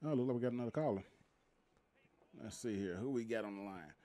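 A man's voice: fairly low pitch, quick pace, mellow. A middle-aged man hosting a casual live call-in show. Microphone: boom microphone.

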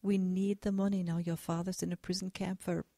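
A woman's voice: fairly low pitch, flat delivery, a little fast, gravelly, warm tone, no room sound, clean studio sound. A woman playing a weary but loving, strict working mother in a radio drama. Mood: weary, cautioning.

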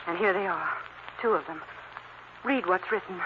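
A woman's voice: on the low side, moderate pace, natural voice. An actress playing a detective's inquisitive, anxious female companion in old radio drama style. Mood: curious. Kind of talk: 1940s radio drama acting. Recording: muffled, noisy old radio recording.

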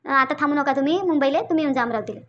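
Woman acting a protective cartoon sister-in-law, high voice, brisk pace, Vidarbha Marathi accent, comforting. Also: a bit bright, dull audio, slight background noise. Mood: reassuring. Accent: Vidarbha (Varhadi) Marathi. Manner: comforting, repeating reassurances. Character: calm, reassuring elder sister-in-law, protective. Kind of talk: Marathi cartoon story dialogue.

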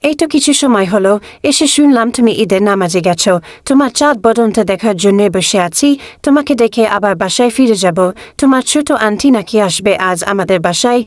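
A woman's voice: mid-range voice, dry recording, studio quality, close to an everyday voice. A woman voicing a doting, affectionate grandmother in a children's cartoon, speaking tenderly.

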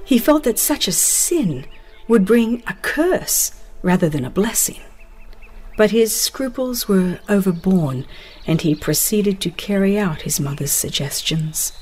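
A woman narrating a dramatized religious audiobook in a solemn, deliberate storytelling manner with dramatic pauses. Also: medium pitch, expressive, a little mellow, husky.

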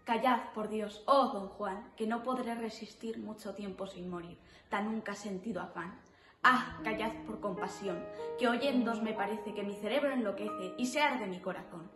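A woman with a medium-pitched voice recites impassioned old stage verse. The room is echoey, and music plays clearly underneath. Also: normal speed. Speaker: poetry reciter. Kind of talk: theatrical recitation of romantic verse drama.

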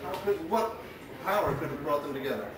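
A man interviewing two musicians, asking questions in a halting way with many fillers. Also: on the high side, lively intonation, a crowd in the background. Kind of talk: casual interview. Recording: very echoey room, distant mic, music behind.

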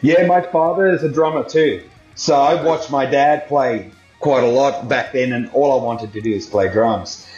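A man's voice: mid-range voice, moderate pace, highs cut off, faint music behind. South African-born man on a roomy video call. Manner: relaxed, rambling, many fillers. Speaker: guest drummer. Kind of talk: casual interview chat.

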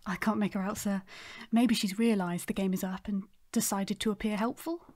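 An actress playing a polite, measured detective sergeant in an audio drama, courteous and matter-of-fact. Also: female voice, mid-range voice, steady tone, a little mellow, natural voice.

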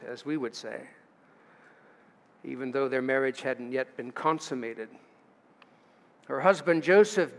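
A man's voice: a little high, a bit bright. An older man, a pastor, delivering a sermon at a lectern in a church sanctuary, reading scripture aloud and adding storytelling asides. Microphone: lectern mic. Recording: church livestream with long reverb.

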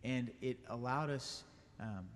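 A young man answers questions calmly and thoughtfully at a stand mic in a church sanctuary. His voice sits at a middle pitch, and the church carries a long reverb behind it. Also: slow, slight background noise. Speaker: guest, business owner and band leader. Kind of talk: interview answers.

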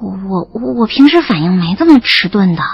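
An actress playing a flustered, playful young student in love in an audio drama, tending to stammer when awkward. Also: female voice, mid-range voice, very animated, normal speed, highs cut off, faint music behind. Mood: embarrassed, teasing. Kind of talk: first-person audio drama narration and dialogue.

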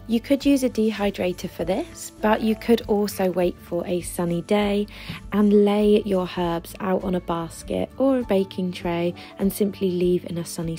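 A young woman from England, hosting a foraging and cooking video with bright, enthusiastic, gently playful how-to narration. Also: lowish voice. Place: woodland outdoors, then wooden cabin kitchen.

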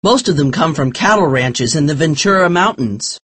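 Reader doing an earnest teen boy, slightly husky, storybook read-aloud. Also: deep voice, animated, normal speed, full character voice. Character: friendly teenage boy Bill.

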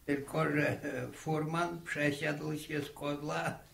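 Garrulous old country grandfather, radio drama. Creaky, unhurried delivery, halting and wistful. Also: on the high side, little pitch movement, a bit bright, slight background noise, full character voice. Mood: amused reminiscing. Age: older. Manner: halting, rambling, repeating words. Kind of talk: 1960s Polish radio drama acting.

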